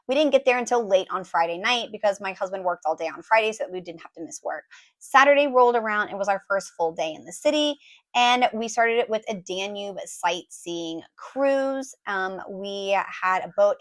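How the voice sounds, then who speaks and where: A woman in her thirties, hoarse as her voice recovers, chatting casually through a family travel recap with lots of ums and likes. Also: medium pitch. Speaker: travel vlogger, mother of four.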